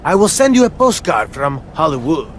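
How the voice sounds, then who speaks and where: A man's voice: a little high, big pitch swings, normal speed, slight background noise. An actor playing a vain, comically boastful male wrestler who speaks pompously in broken, mangled English in a video game cutscene. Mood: pompous cheer.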